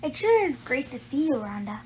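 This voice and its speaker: An eager young girl detective acted out by a woman from a children's storybook. She speaks in a high voice, insistent and dramatic, pressing her point. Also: big pitch swings, normal speed, a little hiss or hum. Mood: falsely friendly.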